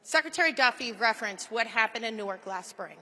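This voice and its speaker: Bright-voiced woman presenting in a hall. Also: on the high side, lively intonation, brisk. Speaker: program presenter. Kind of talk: scripted public presentation.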